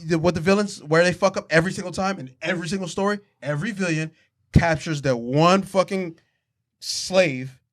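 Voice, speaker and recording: Male co-host, high voice, excitable, loose and repetitive delivery. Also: a little fast. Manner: excitable, repeats himself, laughs often. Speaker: podcast co-host. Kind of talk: casual group chat.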